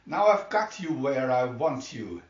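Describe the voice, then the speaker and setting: A man's voice: mid-range voice, sing-song, a little slow. Man playing a gloating, hissing arch villain, echoey room. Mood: triumphant. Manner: hissing, menacing. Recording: muffled, echoey room, distant mic.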